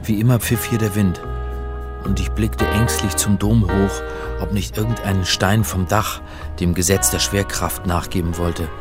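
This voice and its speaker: Uneasy middle-aged academic, low voice, measured and earnest, radio play with faint music behind. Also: male voice, fairly even intonation. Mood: brooding, tense. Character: earnest, uneasy male academic narrating his search. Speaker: actor and first-person narrator. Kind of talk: radio drama narration and dialogue.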